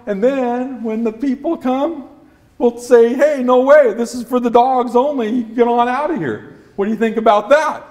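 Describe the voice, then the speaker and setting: A grumbling dog full of schemes performed by a male storyteller. The voice sits well above a normal man's pitch, with halting, folksy phrasing that repeats itself. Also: steady tone, warm tone. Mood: scheming. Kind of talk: oral storytelling character.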